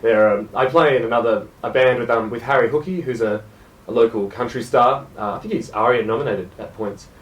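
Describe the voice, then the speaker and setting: A man's voice: mid-range voice, a little fast, roomy sound, recorded from a distance, dull audio. A young Australian man, a jazz musician, talking casually and self-deprecatingly in a radio interview, with many hesitations. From South Gippsland, Australia.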